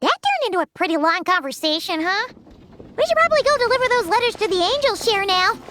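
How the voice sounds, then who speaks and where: A woman voicing a tiny, chirpy, childlike fairy companion in a video game, squeaky and excitable. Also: fast talker, background noise. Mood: curious. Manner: exclamatory, speaks of herself in third person.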